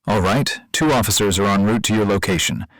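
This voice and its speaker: A man playing a composed 911 dispatcher who asks measured, procedural questions in a scripted emergency call drama. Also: lowish voice, lightly acted. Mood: calm, procedural.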